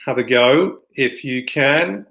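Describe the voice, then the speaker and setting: A man hosting an online event in a casual, unscripted way, with halting, informal delivery. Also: mid-range voice, creaky, a bit bright, rough recording. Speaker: event host and moderator. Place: online video session. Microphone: computer microphone, plugging in another mic partway.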